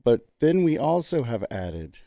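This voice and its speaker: A man's voice: mid-range voice, very animated, unhurried, dark tone, dry recording. An easygoing man co-hosting a show in casual chat, who laughs often and explains things. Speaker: podcast co-host.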